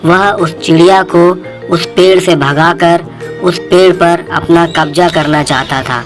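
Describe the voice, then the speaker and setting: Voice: mid-range voice, steady tone, a little slow. A narrator reading a scripted children's moral story. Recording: music and birdsong behind the voice.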